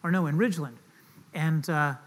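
Middle-aged Southern male pastor, slightly higher voice, relaxed joking sermon style. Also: moderate pace. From Southern United States. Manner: conversational and joking, asks the audience questions.

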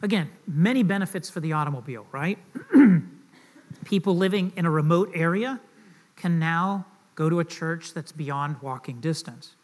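Middle-aged Southern male pastor, slightly higher voice, relaxed joking sermon style. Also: moderate pace. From Southern United States. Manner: conversational and joking, asks the audience questions.

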